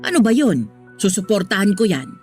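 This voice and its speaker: A woman with a Filipino accent playing a patient, gently firm middle-aged mother in a radio drama. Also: medium pitch, very animated, a little fast, faint music behind, natural voice. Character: patient, protective middle-aged mother soothing her daughter.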